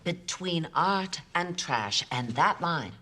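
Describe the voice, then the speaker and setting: A woman's voice: on the low side, animated, slow, pushed and bright, exaggerated voice. A middle-aged woman playing an abrasive, sarcastic, domineering film actress in a period TV drama, hard-voiced, blunt and commanding. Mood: indignant. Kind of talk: television period drama acting.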